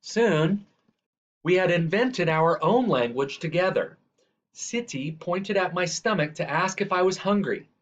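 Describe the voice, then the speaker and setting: Higher-voiced man reading aloud, dull recording. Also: animated, measured pace. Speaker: reader. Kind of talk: children's picture book read-aloud. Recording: dull, lo-fi sound.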